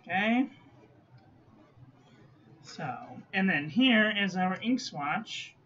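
A man chatting casually while swatching ink at his desk, phlegmy and clearing his throat, with self-mocking asides. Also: high-pitched, animated, extremely slow, a bit bright. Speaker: ink reviewer. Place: small room at a desk. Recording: dull lo-fi audio with faint room noise.

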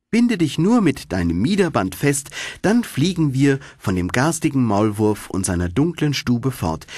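A man voicing a small, kind female swallow in a fairy tale, grateful and eager, with a gentle coaxing manner. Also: mid-range voice, slightly rough, dry recording, clean studio sound.

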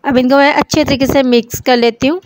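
Woman, pakistani urdu accent, step-by-step recipe voice-over, a bit high, very dry sound. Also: fast talker, no room sound. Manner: calm, repeats each step. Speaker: cooking channel host.

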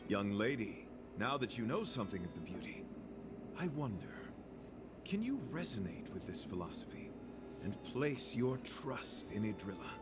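An actor playing a courteous, earnest young knight devoted to beauty, speaking in formal, florid phrases for a video game. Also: male voice, fairly low pitch, big pitch swings, normal speed. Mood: gentle, devout. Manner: formal, florid, chivalrous delivery. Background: game music.

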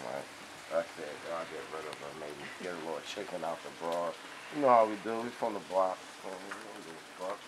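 A young man telling boastful, animated street stories in casual, profane slang. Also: lowish voice, low-quality recording. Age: young adult. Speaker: storyteller. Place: outdoors on a covered house porch. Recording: rain and outdoor ambient noise.

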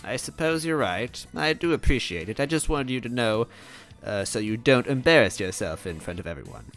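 A man voicing a stern, wrinkled female attendant to a monster king, deadpan and formally scolding. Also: mid-range voice, normal speed, soft background music. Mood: conciliatory.

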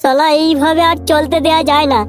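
A high, childlike schoolboy voice put on by a performer for a comedy cartoon. He is a foul-mouthed, cheeky troublemaker who talks in brash slang, with music playing behind him. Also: level tone, a little fast, natural voice. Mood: resentful. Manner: crude, brash slangy banter.

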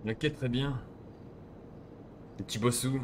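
A man's voice: mid-range voice, steady tone, slow, background noise. A man improvising casual game roleplay as a crime boss, bossy and slangy, with swearing.

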